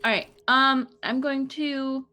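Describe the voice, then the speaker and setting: A woman's voice: sits high, steady tone, slow pace, bright, forceful tone, soft background music. A woman playing a tabletop role-playing game, thinking aloud and deciding her character's moves hesitantly. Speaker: player.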